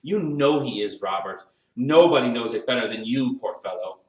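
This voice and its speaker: Storybook wife, played by a male reader: indignant, sharp-tongued, scolding, pitched up, distant roomy sound. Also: lively intonation, unhurried. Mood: scornful, exasperated. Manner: scolding, emphatic. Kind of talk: dramatised storybook reading.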